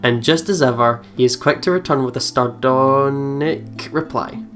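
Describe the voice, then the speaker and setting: Female let's player, very low register, expressive story reading mixed with giggly commentary, faint game music. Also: normal speed. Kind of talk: gaming commentary, reading story narration aloud.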